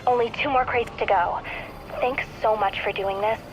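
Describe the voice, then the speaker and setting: A woman's voice: on the high side, fairly even intonation, normal speed, music in the background, lightly acted, low-quality recording. A woman playing an eager, earnest teenage sidekick in a video game, chatty, casual and confiding. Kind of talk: naturalistic video game voice acting.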